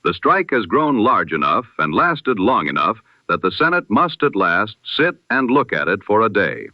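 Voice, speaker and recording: Old timey 1920s radio news guy, lowish voice, formal and solemn, muffled radio filter sound. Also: very animated, no echo. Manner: solemn, formal, measured oratorical delivery. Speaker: news bulletin announcer. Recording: dull, band-limited vintage radio sound.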